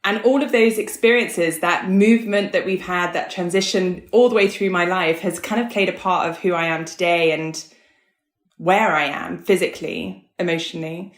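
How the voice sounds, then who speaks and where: A woman guest, raised in Hong Kong, shares personal stories in a casual, candid way. Her voice is a little on the low side, and the recording is noticeably roomy and echoey. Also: moderate pace. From born in the US, grew up in Hong Kong. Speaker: podcast guest, coach.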